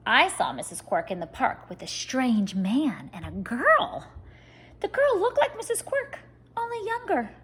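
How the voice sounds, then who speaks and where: Woman reading aloud, high swooping voice. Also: measured pace, husky. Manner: expressive, addressing children directly. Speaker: teacher reading a picture book aloud. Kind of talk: animated children's story read-aloud.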